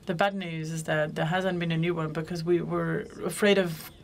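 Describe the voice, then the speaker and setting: A woman's voice: deep voice, little pitch movement, normal speed. A woman moderating a conference panel, speaking loosely and often correcting herself mid-sentence. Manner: loose, self-correcting, with frequent restarts. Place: conference room.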